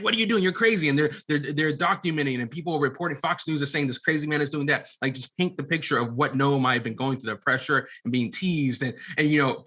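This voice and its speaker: A man's voice: mid-range voice, sharp tone. A man talking as a podcast guest in a casual storytelling style, earnest and rambling a little. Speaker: podcast guest, filmmaker and former pastor.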